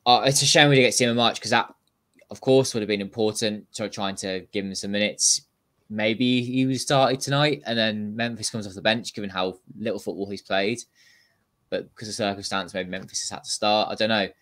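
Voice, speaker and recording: A young man co-hosting a casual football chat over a video call from home, talking quickly and chattily and reading out viewer comments. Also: lowish voice, fairly even intonation, a bit bright.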